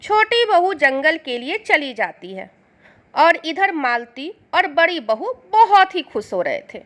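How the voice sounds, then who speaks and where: A woman's voice: high-pitched, very animated, slow, fairly bright tone. A woman narrating a scripted moral storybook tale as the storyteller.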